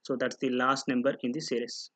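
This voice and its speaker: A man narrates a software tutorial as an instructor, explaining step by step and often posing questions that he then answers. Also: mid-range voice, little pitch movement, fairly quick. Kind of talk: screen-recorded tutorial narration. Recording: noticeable background noise with clicking.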